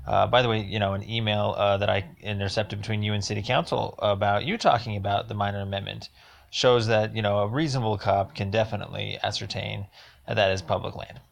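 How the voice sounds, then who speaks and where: A man leaving a rambling voicemail complaint, speaking in a halting, insistent and emphatic way. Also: on the low side, steady tone, creaky.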